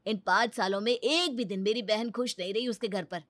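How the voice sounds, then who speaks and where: A woman's voice: medium pitch, brisk, fairly bright tone, no room sound, lightly acted. A woman voicing a protective, caring and firm brother in a story, speaking emphatically and reassuringly.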